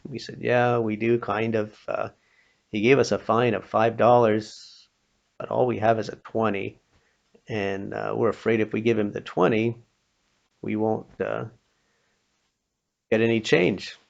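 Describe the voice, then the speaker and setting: A man telling a story informally, acting out the dialogue, with a halting, pausing delivery and a creaky voice. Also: on the low side, steady tone. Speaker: storyteller, pastor. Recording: dull, muffled lo-fi recording.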